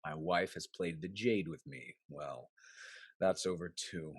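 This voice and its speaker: An actor playing a bitter, cuckolded husband in a Restoration comedy, scheming and sardonic, with florid, declamatory delivery. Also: male voice, deep voice, a little slow, husky, dry recording. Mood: wry, amused. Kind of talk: theatrical Restoration comedy acting.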